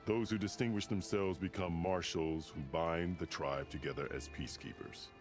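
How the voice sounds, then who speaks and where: Man playing a very deep-voiced, stern warrior chief. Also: steady tone, close to an everyday voice. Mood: proud, authoritative. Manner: commanding, formal, measured declarations. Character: stern, proud warrior chief of a tribe, battle-scarred male ruler. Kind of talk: dramatic video game cutscene acting. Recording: game music behind the voice. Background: game soundtrack music.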